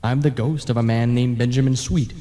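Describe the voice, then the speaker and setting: An actor playing a chatty, peevish, matter-of-fact ghost in a folksy old-time radio comedy manner, with hollow reverb on the voice. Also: male voice, mid-range voice, fairly even intonation, a little fast, dark tone, close to an everyday voice.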